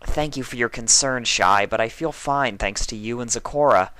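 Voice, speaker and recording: Man playing an urgent, high-pitched young unicorn mare. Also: moderate pace, pushed and bright, smooth, dry recording, close to an everyday voice. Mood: worried, frustrated. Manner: urgent, emphatic, pleading. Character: earnest, anxious young female unicorn pony, bossy but caring.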